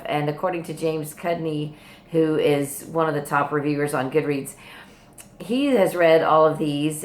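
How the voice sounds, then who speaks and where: Female book vlogger, low register, informal and rambling, hesitant with unfinished thoughts. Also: reverberant. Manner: hesitant, meandering, trailing off and backtracking. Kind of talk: casual rambling video chat. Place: hospital room.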